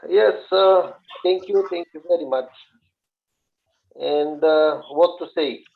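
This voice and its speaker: A man's voice: fairly high pitch, vocal fry. Bulgarian man on a tinny call. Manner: halting, searching for words. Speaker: radio amateur guest. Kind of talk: casual introduction on a video call. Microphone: video call. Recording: tinny phone-like audio over a video call.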